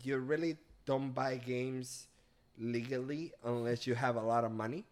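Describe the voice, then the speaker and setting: A man from Venezuela, a podcast guest speaking in earnest, reflective, halting casual chat. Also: medium pitch, fairly even intonation, darkish tone.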